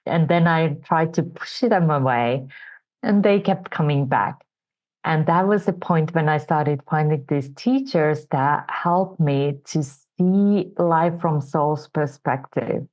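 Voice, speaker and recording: Slow, low-voiced Finnish woman. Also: rough recording. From Finland. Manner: soft, reflective and unhurried. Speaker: guest. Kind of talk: personal storytelling in interview.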